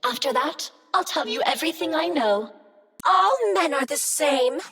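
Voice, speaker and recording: An actress voicing a clingy, jealous female crafting machine who flirts and purrs pet names in a breathy, seductive way. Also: high voice, lively intonation, a little slow, fairly bright tone, faint music behind, heavily acted. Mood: sultry flirtatious. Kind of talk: video game character dialogue.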